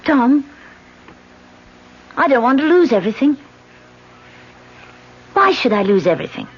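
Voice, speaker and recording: A woman's voice: sits high, sing-song, unhurried, slightly rough, heavily acted. A tense, secretive woman. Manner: tense, evasive, hesitant. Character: guarded woman hiding a deadly secret. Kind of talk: 1970s radio drama acting. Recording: dull vintage radio broadcast.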